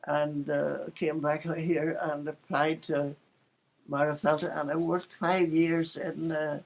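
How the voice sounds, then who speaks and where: An elderly Northern Irish woman looks back on her life in casual conversation. Her voice sits on the low side for a woman, and she tells anecdotes chattily, with a dry sense of fun. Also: level tone, slow, vocal fry, low-quality recording. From Northern Ireland. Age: 80s. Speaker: interviewee recalling her life. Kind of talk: casual reminiscence, storytelling.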